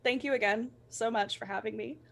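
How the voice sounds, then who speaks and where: A woman's voice: fairly high pitch, fairly even intonation, fairly quick, gravelly. A woman guest on a livestream, chatting casually in a gushing, playful, informal way. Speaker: guest performer and streamer.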